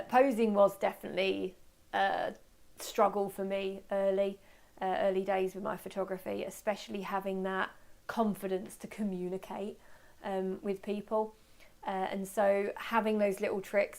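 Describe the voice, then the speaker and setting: Female webinar presenter, medium pitch, informal and talkative, excitable and self-deprecating, speaking live to learners. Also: gravelly. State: voice slightly going from talking. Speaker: photography workshop presenter. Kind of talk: informal live webinar talk with audience questions.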